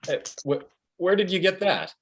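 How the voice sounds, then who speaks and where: Man acting a goofy, lively dude sidekick. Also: sits high, normal speed, dull audio, slight background noise. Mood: dopey admiration. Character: goofy, excitable dude sidekick named Steve. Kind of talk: comedic script table read.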